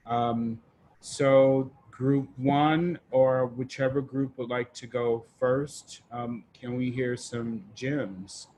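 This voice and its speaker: Male group host, informal and halting, little pitch movement, some background noise. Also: fairly even intonation, dark tone, rough recording. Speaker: discussion facilitator. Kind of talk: casual group facilitation on a video call. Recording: noticeable background noise on online call.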